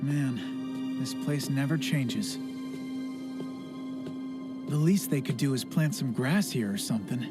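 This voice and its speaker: An actor voices an idealistic teenage schoolboy in an anime dub. He talks casually, with an earnest, stubborn streak and a medium-pitched voice. Loud music plays underneath him. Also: takes it slowly, pauses often, lightly acted. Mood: musing. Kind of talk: anime English dub dialogue and inner monologue.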